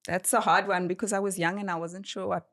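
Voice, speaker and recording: A woman's voice: mid-range voice, level tone, fast, a bit bright. A South African woman with an Afrikaans accent, a native Afrikaans speaker, chatting casually and animatedly as an interview guest. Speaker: podcast guest, doctor.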